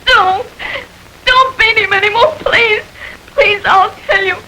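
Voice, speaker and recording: A woman's voice: very high voice, slow pace, sharp tone, exaggerated voice. An actress playing a distraught young woman who sobs and breaks down while her sweetheart is being questioned, in 1940s radio acting style. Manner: sobbing, breaking down. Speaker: suspect's girlfriend. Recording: noisy old radio recording.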